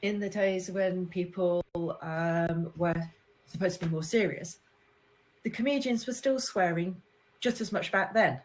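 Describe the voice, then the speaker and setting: Measured woman in a podcast chat. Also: lowish voice, a little hiss or hum, low-quality recording. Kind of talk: casual podcast discussion.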